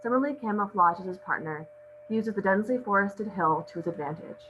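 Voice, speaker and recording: A woman's voice: medium pitch, fast talker. Woman narrating a script, muffled with hum. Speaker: stage directions reader. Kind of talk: scripted narration at a table read. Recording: muffled audio with clear hum.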